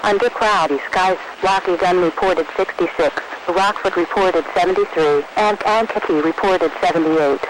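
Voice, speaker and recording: Clear static runs through this radio reception. An American man with a fairly high voice reads a weather summary from a script. He sounds formal and impersonal. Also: normal speed, few pauses.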